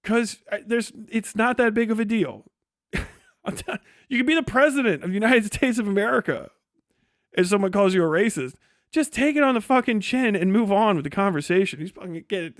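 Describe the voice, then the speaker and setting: A man with a notably high voice talks off the cuff as a livestream host. He is blunt and sarcastic and swears freely. The sound is dry and close, with very little room in it. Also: lively intonation, brisk, no room sound. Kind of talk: casual livestream commentary.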